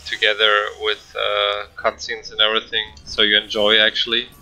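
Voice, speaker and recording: A man's voice: lowish voice, completely flat delivery, moderate pace, noisy background. A man giving casual, rambling gameplay commentary in hesitant, non-native English. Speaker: speedrunner commentator.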